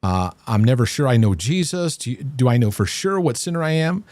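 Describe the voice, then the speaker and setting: A man's voice: mid-range voice, animated, a little fast, darkish tone, no room sound. A man hosting a live question-and-answer stream on emotional health, earnest and playful by turns. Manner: earnest, playful with impressions and laughter. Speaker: host answering listener questions.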